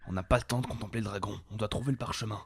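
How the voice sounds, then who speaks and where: Guy acting no-nonsense adventurer, low-ish, talks really fast, careful type, audio drama. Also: steady tone, breathy edge. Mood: urgent. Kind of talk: French amateur audio fiction acting.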